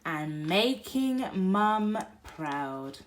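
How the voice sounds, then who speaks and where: A woman reading a picture book aloud for toddlers, expressive, moving between hushed whispers and loud exclaims. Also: mid-range voice, extremely slow. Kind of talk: read-aloud bedtime story for toddlers.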